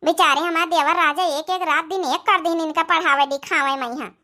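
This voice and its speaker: Woman as a high-voiced rustic Awadhi sister-in-law. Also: steady tone, a little fast, bright, forceful tone. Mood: plaintive. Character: plain-spoken village sister-in-law, sympathetic to her brother-in-law. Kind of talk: comedy cartoon dialogue.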